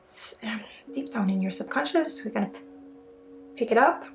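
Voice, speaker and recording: Give me a Swedish-accented woman guiding an ASMR energy healing, higher voice with gravelly fry, soft and whispery. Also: lively intonation. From Sweden. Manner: soft-spoken, often dropping into whispers, with pauses. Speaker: ASMR energy healer and spiritual guide. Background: music.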